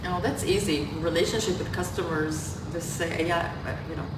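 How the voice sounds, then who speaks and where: A woman, Russian-born, speaks candidly and with self-deprecating humor, often restarting her sentences. Her voice sits fairly low and is picked up from a distance in a roomy hall. There is a steady hiss of noise around her. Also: fairly quick. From Israel. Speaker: startup founder panelist. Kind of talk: live panel discussion. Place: event hall with audience. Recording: echoey room, distant mic, noisy.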